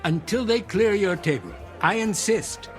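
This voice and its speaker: An actor playing a jovial, dandyish man with smooth, insistent charm, genteel and theatrical, in an audio drama. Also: high-pitched, measured pace, soft background music. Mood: smooth, coaxing.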